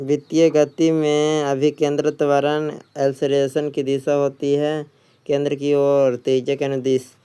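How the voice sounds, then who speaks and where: A man's voice: on the high side, mellow, clean tone. A man working as an exam coach, reading quiz questions and answers aloud in an instructional way, often repeating them.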